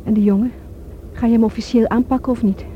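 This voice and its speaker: A worried, reproachful woman, played by an actress, speaks in a fairly high voice with an insistent edge. It is a radio play on a lo-fi old recording with clear noise behind her. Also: fairly even intonation, fast, natural voice. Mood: indignant. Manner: indignant, insistent. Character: reproachful, worried woman defending a troubled man. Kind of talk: radio drama acting.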